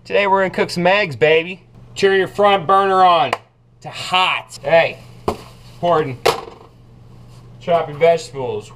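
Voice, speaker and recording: A man's voice: on the high side, measured pace, pauses often, a little hiss or hum. Brash young man hosting a cooking show. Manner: brash, boastful, loud whoops. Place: small apartment kitchen.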